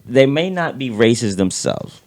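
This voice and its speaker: A man co-hosting a casual political chat show, talking with a raspy voice and vocal fry, rambling and repeating words as he swears freely. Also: mid-range voice, sing-song, a little slow, darkish tone. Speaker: podcast co-host.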